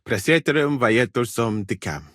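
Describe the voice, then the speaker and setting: A man playing a courteous, learned foreign physician in a medieval video game, speaking in measured, formal phrases with calm thoughtfulness. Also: mid-range voice, dry recording, clean studio sound, natural voice.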